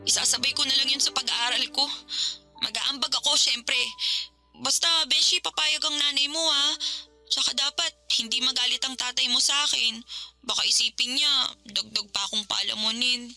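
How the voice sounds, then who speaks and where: A humble, troubled young student in a radio drama. The voice is high and extremely bright, earnest and hesitant, with a Filipino accent and faint music underneath. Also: female voice, steady tone, normal speed, full character voice. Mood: worried, earnest. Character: Bianca, troubled, humble young college student, the best friend. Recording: music bed behind the voice.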